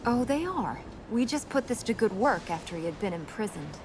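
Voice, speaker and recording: An actress playing a serious, guarded young princess in a video game, explaining earnestly and answering with dry wit. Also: female voice, mid-range voice, sing-song, normal speed, noisy background.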